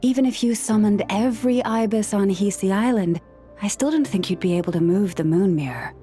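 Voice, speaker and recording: A woman playing a gentle young woman who understands animal speech, soft and patient with a quiet wry edge. Her pitch sits in an ordinary middle range. Faint game music hums behind her. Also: a little fast, natural voice. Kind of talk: game character dialogue.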